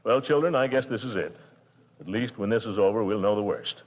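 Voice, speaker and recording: An actor playing a blustering, pompous middle-aged bachelor uncle in a 1940s radio comedy, with a booming laugh. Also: male voice, brisk, lightly acted. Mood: solemn. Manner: blustering, booming laugh, swings from gloomy to jubilant. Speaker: lead actor in a radio sitcom. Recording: dull old radio broadcast.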